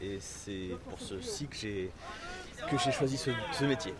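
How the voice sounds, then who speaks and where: A man giving a casual, somewhat hesitant spoken testimonial about himself, explaining in a measured way. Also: fairly low pitch. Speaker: interviewee, travelling technician. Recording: outdoor chatter and urban noise.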